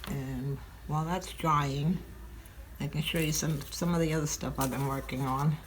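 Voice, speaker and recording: Low-voiced older woman, slightly stuffy. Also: steady tone, moderate pace. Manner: chatty, thinking aloud while working. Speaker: craft tutorial host. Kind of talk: relaxed craft demonstration. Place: craft table at home, indoors. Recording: audible crinkling craft noise.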